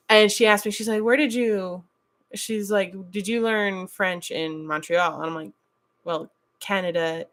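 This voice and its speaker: A young adult woman from the United States hosting a casual chat stream, blunt and animated, laughing often as she talks. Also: fairly low pitch. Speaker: stream host. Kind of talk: casual chat reading chat messages.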